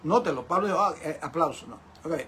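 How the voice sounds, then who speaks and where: A man's voice: fairly high pitch, expressive, fast talker, pushed and bright, slight background noise. An older man teaching a Bible class as a pastor, in an emphatic, repetitive way with rhetorical questions to his listeners. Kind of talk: sermon-like Bible lesson.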